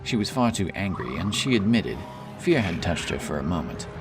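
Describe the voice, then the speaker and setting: Really deep guy narrating a fantasy story, solemn and dramatic, bit of music underneath. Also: very animated, moderate pace.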